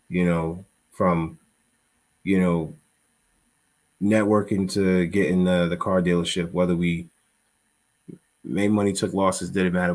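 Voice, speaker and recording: A man as an interview guest, talking in a halting, rambling way full of fillers. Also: low-pitched. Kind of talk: conversational interview.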